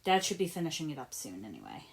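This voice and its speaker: A woman's voice: low-pitched, huge pitch swings, fast talker, gravelly, slight background noise, heavily acted. A woman voicing a patient, protective young man in a story, placating and a little exasperated. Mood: weary, placating.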